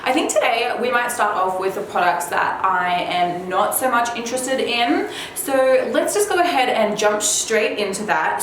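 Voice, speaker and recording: Female beauty vlogger, chatty and informal, mid-pitched with a slight cold rasp, recorded in a strongly reverberant room. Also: a bit bright. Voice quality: slightly raspy. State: slightly under the weather with a cold. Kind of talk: casual chat to viewers about product releases. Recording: very echoey room, faint background noise.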